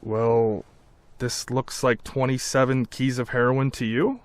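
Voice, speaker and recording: A man's voice: medium pitch, little pitch movement, measured pace, dry recording, close to an everyday voice. A man playing an uneasy, plain-spoken police partner in a video game cutscene, unsure and deferring. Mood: unsure, conspiratorial. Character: uneasy male police partner searching for drugs.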